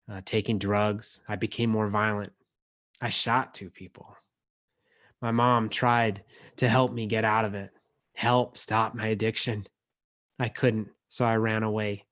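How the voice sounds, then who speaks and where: A man's voice: fairly low pitch, fairly bright tone. Man hosting an online poetry reading. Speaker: emcee and book editor. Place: video call. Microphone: video call microphone.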